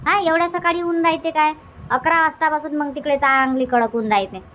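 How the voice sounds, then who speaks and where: Woman doing a cartoon village granny, high voice, varhadi marathi accent, practical, always advising and coaxing. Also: fairly even intonation, brisk, a bit bright, a little hiss or hum. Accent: Varhadi Marathi, Vidarbha. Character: village grandmother, practical advice-giving mother. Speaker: cartoon character voice. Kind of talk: homely cartoon comedy dialogue.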